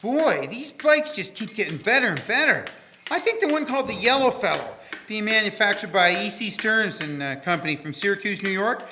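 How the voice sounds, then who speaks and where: Radio drama role: folksy 1890s store hand, male actor, high-voiced and swooping, colloquial, with faint clinking noise behind. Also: big pitch swings, brisk, sharp tone, exaggerated voice. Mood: admiring. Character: folksy, admiring 1890s bike shop worker Joe. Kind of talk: community radio drama acting in early live radio manner.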